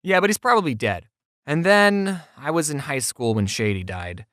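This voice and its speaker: A narrator telling scripted comedic personal stories in a playful, self-deprecating way, with deadpan asides. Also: medium pitch, normal speed, no echo, clean studio sound. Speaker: storyteller narrator.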